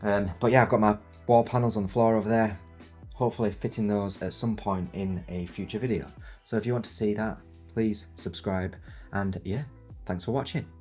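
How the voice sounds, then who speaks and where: A man in his thirties hosting a video tutorial, croaky from a cold, talking in a rambling, joking, self-mocking way. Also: fairly low pitch, fairly even intonation, moderate pace, a little mellow, faint music behind. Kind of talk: casual chatty tutorial. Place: home studio set in a new house.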